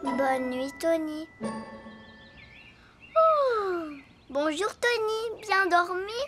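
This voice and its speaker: A performer voicing a bossy, excitable young girl elephant in a children's cartoon, lively and assertive. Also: very high-pitched, very slow, loud music behind the voice, heavily acted. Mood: friendly. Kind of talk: animated children's cartoon acting.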